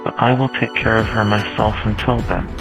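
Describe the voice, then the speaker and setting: A man's voice: on the low side, steady tone, brisk, close to an everyday voice, rough recording. A man voicing a cruel, amused male trickster spirit who taunts and laughs at others, in an amateur audio drama. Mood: taunting. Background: music.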